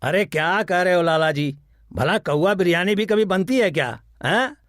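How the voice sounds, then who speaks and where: High-pitched greedy village biryani seller played by a man. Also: normal speed, fairly bright tone, no room sound, studio quality. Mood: sly. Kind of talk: Hindi animated moral story dialogue.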